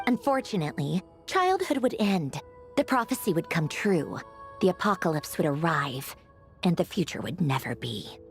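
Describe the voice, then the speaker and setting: An actress playing a haughty, sharp-witted young woman in a video game, sardonic and offhand with a dryly theatrical edge. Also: lowish voice. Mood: solemn storytelling. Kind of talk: scripted video game voice acting. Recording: music playing behind the voice.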